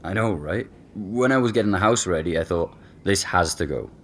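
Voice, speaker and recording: A man's voice: low-pitched, expressive, moderate pace, fairly bright tone. An actor playing a casual, reflective man recalling things in a hesitant, informal audio drama conversation.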